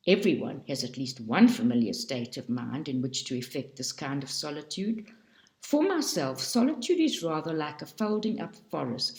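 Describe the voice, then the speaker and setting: A woman reading a book aloud for a study group, slowly and carefully, stopping often to correct herself. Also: deep voice, a little mellow, roomy sound. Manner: slow, careful reading with frequent self-corrections.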